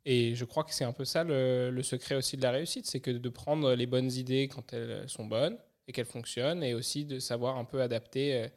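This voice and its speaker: Man from paris, gravelly fry, mid pitch, reflective entrepreneur guest. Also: steady tone, brisk, few pauses. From Paris, France. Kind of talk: podcast conversation.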